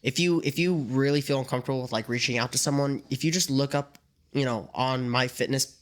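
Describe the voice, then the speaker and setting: A man's voice: mid-range voice, brisk. A man who works as a fitness trainer, chatting on a podcast with upbeat, enthusiastic advice. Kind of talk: casual podcast chat. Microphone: USB desk microphone.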